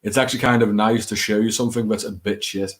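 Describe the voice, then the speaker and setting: A man's voice: fairly low pitch, fairly even intonation, fast talker. A man with a Scouse accent hosting a casual, rambling live chat, speaking in a hesitant, self-deprecating way with theatrical flourishes. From England. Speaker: host of a late-night livestream.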